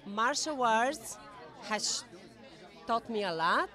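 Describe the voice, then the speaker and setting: A woman's voice: a little high, lively intonation, slow pace, pushed and bright. A woman with a Bulgarian accent giving earnest, unscripted interview answers at a red carpet event. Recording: crowd chatter behind voice.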